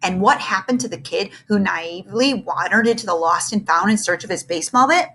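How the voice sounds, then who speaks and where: A woman reading a children's book aloud as expressive scripted narration. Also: medium pitch, brisk, husky.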